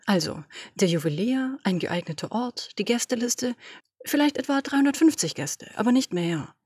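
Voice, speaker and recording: A domineering woman boss with a husky, breathy voice, as a woman reads her fires off brisk orders and brushes aside objections. She sounds dry and close to the microphone, like a home audio drama recording. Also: a little high, animated, darkish tone, no echo. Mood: impatient, imperious. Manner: commanding, brisk, dismissive. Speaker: podfic reader. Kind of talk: German audio drama reading.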